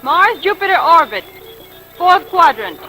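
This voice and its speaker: An actress playing an efficient space communications operator in an old-time radio drama, crisp and official. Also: female voice, very high voice, big pitch swings, measured pace, bright, forceful tone, faint music behind, full character voice. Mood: businesslike, urgent.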